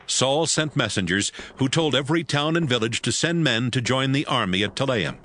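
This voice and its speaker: A man's voice: medium pitch, lively intonation, moderate pace, a bit bright. A man narrating scripture in a scripted, dramatized audio Bible style.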